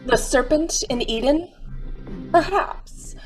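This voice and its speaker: A woman with a high-pitched voice narrates a radio mystery. Her delivery is measured, knowing and wry, with faint music behind her. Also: poor audio.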